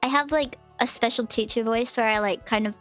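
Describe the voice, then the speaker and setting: High-voiced woman rambling on stream. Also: fairly quick, fairly bright tone. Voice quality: cute, high-pitched voice. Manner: rambling and giggly, with many restarts and fillers. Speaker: VTuber streamer. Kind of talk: casual live-stream chat. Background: faint background music.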